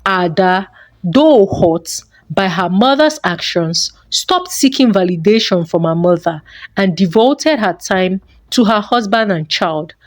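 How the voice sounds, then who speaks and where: A woman's voice: mid-range voice, expressive, unhurried, no echo. A woman reading a scripted folktale as a storyteller.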